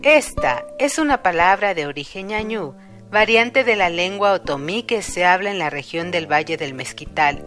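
Woman narrator, low voice, calm informative read over guitar. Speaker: segment narrator. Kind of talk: scripted educational narration. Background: guitar music.

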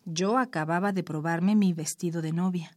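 A woman reading her own short story aloud in a measured, expressive storytelling manner. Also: fairly low pitch, no room sound, clean studio sound.

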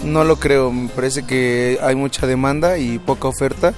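Young student guy, casual, monotone, music behind. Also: mid-range voice, moderate pace. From Mexico. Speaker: university student.

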